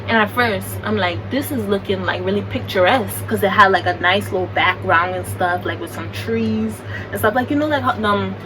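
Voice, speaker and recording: A young American woman telling a story in a chatty, animated, slangy way. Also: mid-range voice, brisk, few pauses, over music. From United States. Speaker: vlogger. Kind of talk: casual storytime. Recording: distant mic with background noise.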